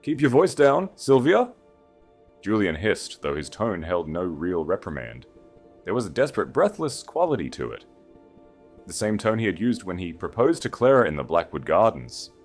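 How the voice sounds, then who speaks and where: Deep-voiced man narrating with expressive swings. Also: moderate pace, soft background music. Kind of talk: dramatic scripted story narration.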